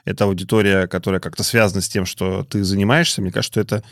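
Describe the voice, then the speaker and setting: A man hosting a casual podcast chat, talking in a measured way and thinking aloud with hesitations. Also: sits low, little pitch movement, fairly bright tone, no room sound, clean studio sound. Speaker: podcast host.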